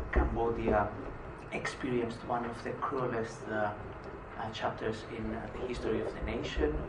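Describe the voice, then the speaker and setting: A man from Greece giving a conference presentation, pausing often with "uh" between phrases. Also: mid-range voice. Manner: frequent "uh" hesitations. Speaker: conference speaker. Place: conference hall. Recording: echoey hall, distant mic, noisy.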